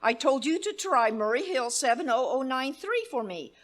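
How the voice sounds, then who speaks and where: A woman's voice: high voice, animated, moderate pace. A woman playing a demanding, frantic wealthy woman who scolds the telephone operators, in an imperious, fretful voice, in a live staging of an old-time radio play. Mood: exasperated. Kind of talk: live radio play staged as 1930s radio acting.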